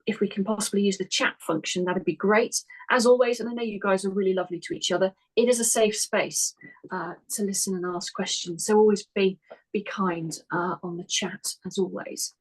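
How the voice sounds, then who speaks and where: A woman's voice: mid-range voice, steady tone, normal speed, poor audio. A woman with a mid-range voice hosts a webinar. She talks in a friendly, chatty way as she welcomes the audience. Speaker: webinar host. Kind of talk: hosting a webinar.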